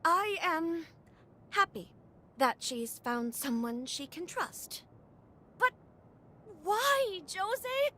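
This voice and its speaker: A woman's voice: extremely high pitch, sing-song, drawn-out words, pauses often, sharp tone, full character voice. A woman playing a haughty, overprotective noble sister in a video game cutscene, speaking in a formal, dramatic manner. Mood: indignant.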